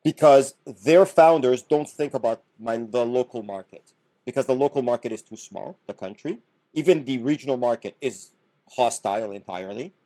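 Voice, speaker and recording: A middle-aged man from Canada talks through his ideas as a podcast guest, with a mellow, dark tone. He is earnest and explanatory, often repeating a word as he restarts a sentence. His pitch sits in an ordinary middle range. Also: moderate pace, low-quality recording. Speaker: podcast guest, business founder. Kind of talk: casual podcast conversation.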